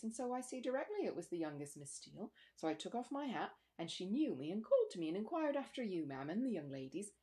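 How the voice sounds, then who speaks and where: A woman's voice: medium pitch, dark tone, close to an everyday voice. A talkative male servant from the countryside, acted out by a woman in a storybook. He is respectful and rambles on in homely, ungrammatical country speech. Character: deferential, talkative rustic manservant, male. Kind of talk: storybook character dialogue.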